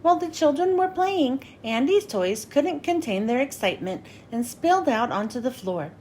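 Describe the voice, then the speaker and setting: Woman, high voice, expressive storybook narration for kids, clear phrasing, slight background rumble. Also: moderate pace. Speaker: children's read-aloud host.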